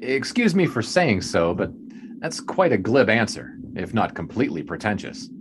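An actor playing a polite, earnest 1920s sportswriter in an audio drama, speaking with reserve and slight hesitation. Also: male voice, lowish voice, big pitch swings, normal speed, background noise. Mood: curious, skeptical.

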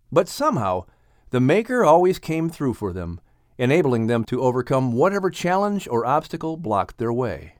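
A man narrating a children's audiobook in an expressive, scripted storytelling style. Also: on the high side, no echo, studio quality.

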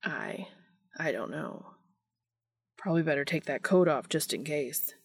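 Female reader as concerned male caretaker in an audiobook; earnest, awkward, low with fry. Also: expressive, unhurried, no room sound, clean studio sound, heavily acted. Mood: awkward, hesitant. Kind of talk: audiobook character dialogue.

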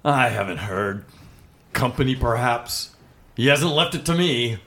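A man's voice: mid-range voice, lively intonation, measured pace, pushed and bright, echoey room. A man playing an indifferent Victorian businessman in a live dramatic reading. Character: indifferent Victorian businessman gossiping about a death.

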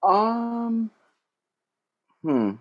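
A man who works as a social worker, a guest in a casual conversation, speaking thoughtfully and hesitantly with frequent pauses and fillers. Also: extremely high pitch, very animated, muffled recording.

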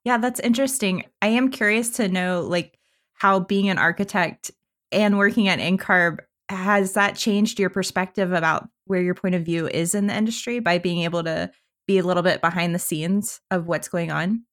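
A woman's voice: fairly low pitch, normal speed, fairly bright tone. A woman co-hosting a podcast, welcoming guests and asking questions in an upbeat, curious way. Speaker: podcast co-host.

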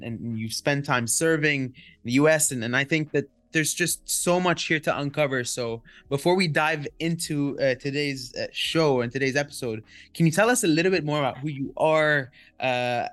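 A man's voice: fairly high pitch, no echo. Rambling male podcast host, dry sound. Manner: warm, rambling, stacked repeated words and fillers. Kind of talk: casual interview hosting.

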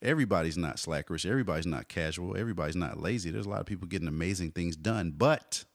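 A man in his forties, a hip hop artist telling stories in a relaxed interview, dropping "you know" often. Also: sits low, racing, no room sound, studio quality. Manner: laid-back storyteller, frequent "you know". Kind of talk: casual conversational interview.

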